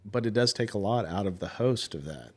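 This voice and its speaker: A man's voice: on the low side, lively intonation, brisk, a little mellow. A man in his forties hosting a podcast as a casual, blunt, joking chat. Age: 40s. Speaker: podcast host.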